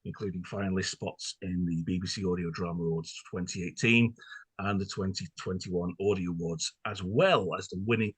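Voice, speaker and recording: A man's voice: deep voice, steady tone, normal speed, husky, no room sound. A man hosting a conversational podcast interview, speaking in a wordy, playfully formal and polite way.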